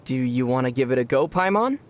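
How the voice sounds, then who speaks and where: A man playing a calm young traveler hero in an anime video game, gently teasing in a measured way. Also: mid-range voice, lightly acted. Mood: playful.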